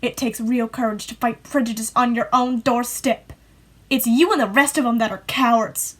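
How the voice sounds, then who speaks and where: High-voiced, defiant small-town woman in an amateur play. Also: steady tone, normal speed, lightly acted. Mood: bitter, accusing. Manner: folksy, scornful, accusing. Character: bitter, defiant small-town woman nagging her brother. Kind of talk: amateur stage-play acting over video call. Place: home, on a video call. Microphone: video call microphone.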